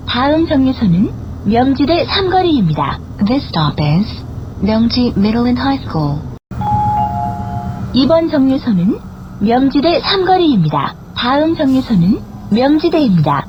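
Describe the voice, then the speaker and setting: A woman with a high, melodic voice gives formal transit announcements. She enunciates each phrase carefully and keeps a measured delivery. The sound is dull, with clear vehicle noise around it. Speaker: bus stop announcer. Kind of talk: scripted public transit announcement. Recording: dull, noisy bus speaker capture. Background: bus engine and cabin noise.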